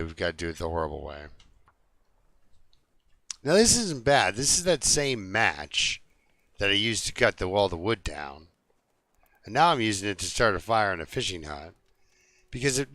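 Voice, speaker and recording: A man's voice: low voice, big pitch swings, normal speed, a bit bright, no room sound. A man live streaming a video game, chatting casually and jokingly to his viewers in an easygoing, rambling way.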